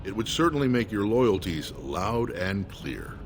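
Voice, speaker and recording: An actor playing a guarded, courteous dwarf lord's second-in-command in a video game, speaking in a measured, formal way. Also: male voice, lowish voice, sing-song, faint music behind. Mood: firm, courteous. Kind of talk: scripted video game dialogue.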